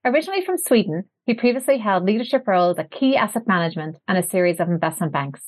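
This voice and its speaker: Measured woman podcast host. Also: mid-range voice, animated. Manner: clear, measured presenter delivery. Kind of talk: scripted intro and interview questions.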